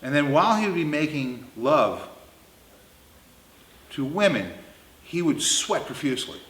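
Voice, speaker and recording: A man in his fifties tells comic stories in a roomy, echoing space. His delivery is lively and swings around in pitch. He is blunt, crude and emphatic. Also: a little slow. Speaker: storyteller. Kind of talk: comedic anecdote storytelling. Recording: roomy, echoing indoor sound.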